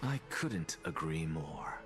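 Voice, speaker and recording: Arrogant smart guy from a game, deep voice, haughty and dry, self-assured. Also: expressive, slow, exaggerated voice. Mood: dry, smug. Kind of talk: video game voice acting. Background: game music.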